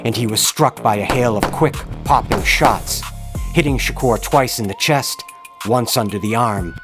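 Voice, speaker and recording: A man's voice: mid-range voice, a little slow. A man giving a grave, theatrical scripted narration in the style of a true-crime horror tale. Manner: grave, florid, theatrical delivery. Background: music bed.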